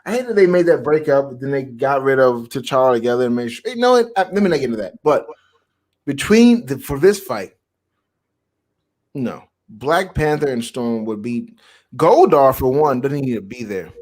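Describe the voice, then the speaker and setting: A man's voice: medium pitch, expressive, moderate pace, a little mellow. A man co-hosting a fan livestream in casual banter, emphatic and teasing, repeating words for effect. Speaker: co-host of a fan debate livestream.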